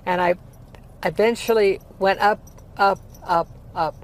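A woman's voice: mid-range voice, steady tone, measured pace. A woman in her eighties, a former opera singer, recalling her career in a rambling, filler-laden way during a casual interview. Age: 80s. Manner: rambling storytelling with many fillers. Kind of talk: casual life-story interview. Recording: heavy traffic noise behind.

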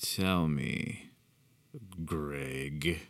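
A man voicing an unhinged, sinister man who speaks in a slow, drawn-out, mocking way. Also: fairly low pitch, very animated, no echo, pitched above the performer's own voice, heavily acted. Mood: menacing. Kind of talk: horror story narration.

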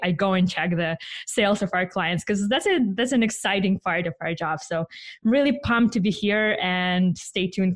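A woman with a Ukrainian accent co-hosting a podcast in casual chat, bubbly and excitable, laughing often. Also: mid-range voice, no echo. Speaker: podcast co-host.

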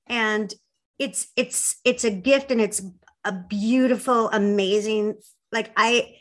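A woman's voice: on the high side, steady tone, takes it slowly, a bit bright. A woman, middle-aged, telling personal stories in casual conversation with an effusive, emphatic manner. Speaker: guest, filmmaker.

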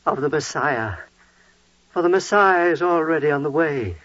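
An actor playing a devout, awestruck priest and father in 1940s radio drama, solemn, halting and declamatory. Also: a little high, lively intonation, a bit bright, dry recording. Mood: prophetic. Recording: muffled old 1940s radio recording.

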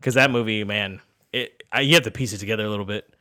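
A young man sounding stuffy and nasal from a cold, with a bright, forceful edge to his tone. He rambles enthusiastically, restarting sentences as he chats on a casual podcast. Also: on the low side, fast talker. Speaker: podcast co-host. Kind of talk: casual chat.

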